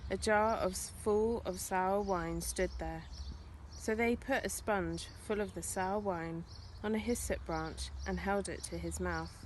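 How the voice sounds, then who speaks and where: Female scripture reader, mid-range voice, measured narration, light outdoor noise behind. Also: mid-range voice, darkish tone.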